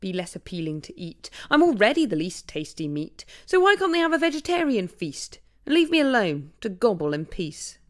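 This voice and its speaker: A woman's voice: medium pitch, very animated, moderate pace, hardly any pauses, pitched above the performer's own voice, close to an everyday voice. A woman voicing an anxious turkey who fears being eaten at Christmas, in a storybook poem. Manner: anxious, pleading. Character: fearful turkey dreading Christmas dinner.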